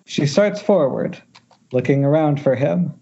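Casting: male narrator for screenplay directions, husky, wry and informal, muffled internet-call sound. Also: on the high side, unhurried, warm tone. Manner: wry, jokey ad-libs. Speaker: narrator reading stage directions. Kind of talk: loose, informal script narration at a table read.

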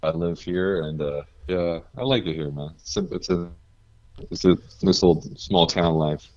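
A low-pitched, deep-voiced man from New Jersey, chatting as a guest and telling stories with easy laughs. The audio is dull and a bit muffled, with a dry, close sound. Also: normal speed, a little mellow, no room sound. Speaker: guest musician. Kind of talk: casual interview chat.

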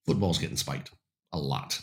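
A man hosting a casual talk show, losing his voice and getting raspy, speaking in a halting way full of fillers and restarts, blunt and emphatic. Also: very deep voice. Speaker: host.

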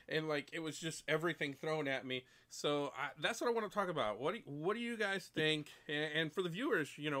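A man's voice: on the high side, pushed and bright. A man in his late forties hosting a casual livestream chat, rambling and repeating himself, laughing often. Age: 40s. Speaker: stream host.

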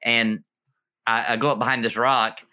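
A man streamer in casual chat, relaxed and easygoing, who says "you know" a lot. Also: medium pitch, moderate pace. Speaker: streamer, co-host.

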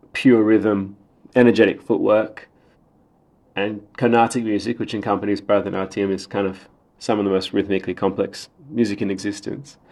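A man with an Australian accent, a podcast guest talking reflectively in measured, explanatory short phrases. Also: on the low side. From Australia, raised in Sydney. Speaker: podcast guest, theatre writer and director. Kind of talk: reflective interview talk.

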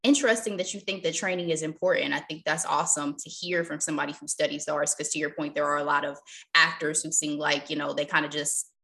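A woman co-hosts a casual interview podcast and backs up her guest with encouraging comments. Her voice is low-pitched, with a bright, forceful edge. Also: steady tone, quick pace. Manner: supportive, affirming interjections. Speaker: podcast co-host.

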